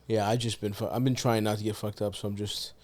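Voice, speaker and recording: A man's voice: on the low side, flat delivery, fast talker, darkish tone. A man hosting a podcast in casual chat, laid-back and profane, filling gaps with constant "yeah, yeah". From United States. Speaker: podcast host.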